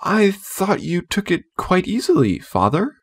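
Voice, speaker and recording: Guy doing a keen little girl from a storybook, higher voice, earnest and helpful. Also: huge pitch swings, measured pace, husky, heavily acted. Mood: puzzled. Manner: earnest, eager. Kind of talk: storybook character voice.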